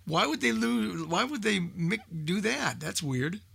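A man's voice: sits high, sing-song, unhurried, vocal fry, a bit bright, husky, dry recording, clean studio sound. A man chatting casually in a group as a radio show host, talkative and folksy in his exclamations.

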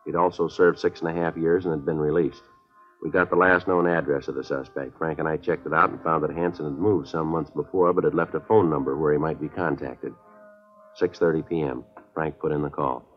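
A man's voice: very deep voice, fairly even intonation, a little fast. An actor playing a terse, businesslike police detective with an American accent, narrating his case in clipped, matter-of-fact 1950s radio drama style. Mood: flat, businesslike. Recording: muffled old radio recording, faint music.

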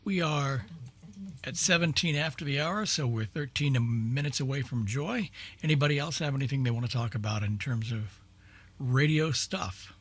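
A man with a husky voice chatting casually in a group, reminiscing in a halting way. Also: medium pitch. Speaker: radio show panelist. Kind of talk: casual group chat about old-time radio.

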